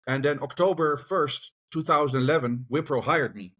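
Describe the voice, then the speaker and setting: A man's voice: a little high, normal speed, fairly bright tone. A man talking casually over a video call as a podcast guest, telling his story and acting out the dialogue he quotes.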